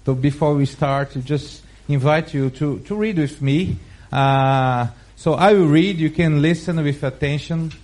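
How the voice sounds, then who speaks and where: A man preaching in English with a Brazilian Portuguese accent, his first language being Portuguese. He reads scripture and teaches in a slow, deliberate way, phrasing carefully and restarting words as he goes. His voice sits a little higher than most men's. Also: a little mellow. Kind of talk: Bible reading and sermon.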